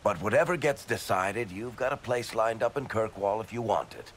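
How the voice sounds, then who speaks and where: An actor playing a teasing, offhand dwarf storyteller in a video game. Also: male voice, fairly low pitch, lively intonation, normal speed, fairly bright tone, slight background noise. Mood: wry. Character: wry, easygoing male dwarf storyteller.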